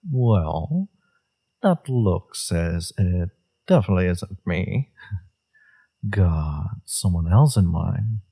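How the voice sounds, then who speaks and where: A man playing a flirtatious, teasing villain in an intimate romance audio roleplay, murmuring coyly in a low, breathy voice. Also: lively intonation, extremely slow, exaggerated voice. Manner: teasing, coy, murmuring with soft chuckles. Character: flirtatious, teasing male villain, secretly smitten enemy.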